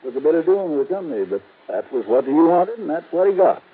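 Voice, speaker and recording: An actor playing a folksy small-town insurance agent in a 1950s radio drama, with a homespun drawl. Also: male voice, fairly high pitch, sing-song, quick pace, breathy edge. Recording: tinny old radio broadcast, faint hiss.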